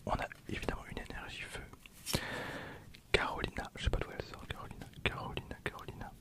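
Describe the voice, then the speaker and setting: A man in his twenties whispering softly and informally, as in a casual ASMR video with hushed running commentary. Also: fast. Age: 20s.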